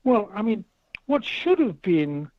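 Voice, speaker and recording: A man with a high voice speaks as a professor guest, pressing his points with measured emphasis and repetition. The sound is dry and a little dull. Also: sing-song, no echo. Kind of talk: talk radio discussion.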